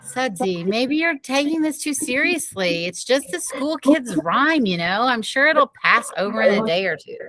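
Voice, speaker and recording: A woman's voice: fairly high pitch, very animated, normal speed, hardly any pauses, crowd noise, voice pushed up. A woman playing an exasperated mother in a children's story, stern and firm with her kids. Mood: weary, reasoning.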